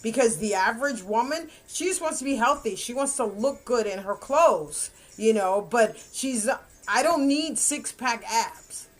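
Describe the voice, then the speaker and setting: A woman's voice: a little high, animated, a little slow. A woman in her fifties giving a rambling, casual book review, often correcting herself and laughing. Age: 50s.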